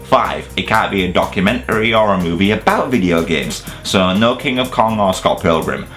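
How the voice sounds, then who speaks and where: A man's voice: low voice, lively intonation, moderate pace, fairly bright tone, faint music behind. A British man hosting a video review, delivering scripted comedic countdown narration in a sarcastic, sweary, exaggerated way. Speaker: host of a video review channel.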